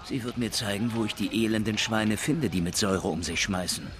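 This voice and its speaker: A man's voice: on the low side, brisk, a little hiss or hum, natural voice. A man playing a tough, vengeful police detective, curt and threatening, in a German radio crime drama. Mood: furious.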